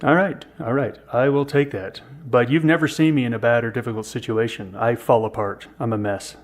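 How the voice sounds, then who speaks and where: A man in his fifties co-hosts a podcast with loose, joking talk and pokes fun at himself. His voice sits at an ordinary middle pitch. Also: steady tone, brisk. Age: 50s. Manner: self-deprecating, jokey. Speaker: podcast co-host. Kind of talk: casual podcast conversation.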